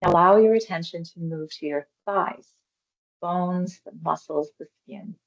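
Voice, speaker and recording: Casting: woman, low creaky voice, meditation guide, gentle and reassuring, unhurried phrasing, slightly muffled recording. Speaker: mindfulness exercise guide. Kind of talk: guided meditation, body scan instruction.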